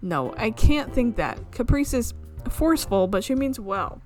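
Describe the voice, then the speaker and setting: A woman streamer reading a visual novel aloud in an expressive storytelling way, with asides. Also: on the high side, measured pace. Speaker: video game streamer. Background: game music.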